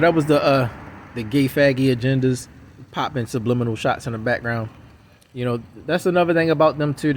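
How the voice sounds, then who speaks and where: A man's voice: medium pitch, a little hiss or hum. A man giving a casual, rambling monologue to camera outdoors on city streets, repeating himself insistently. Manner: repetitive, insistent, circles back to the same points. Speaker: vlogger.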